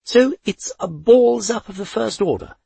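Brief: male reader playing older aunt, loud and demanding, husky raised voice, dry studio. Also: high-pitched, expressive, measured pace, dry recording, pitched above the performer's own voice, full character voice. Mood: indignant. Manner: brash, grumbling, demanding. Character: feisty, foul-mouthed older woman, an aunt. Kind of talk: audiobook character voice.

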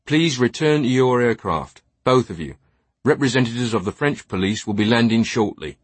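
A man's voice: medium pitch, lively intonation, normal speed, slightly rough, no room sound, pitched above the performer's own voice. Stern police captain, done by a male narrator, audiobook character, formal and unyielding, dry sound. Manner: formal, firm, official.

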